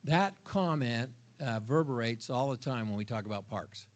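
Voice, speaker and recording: A man's voice: mid-range voice, lively intonation, moderate pace. Older man speaking frankly at a town hall. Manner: candid, frank, stammering restarts. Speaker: HOA board member. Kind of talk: town hall meeting remarks.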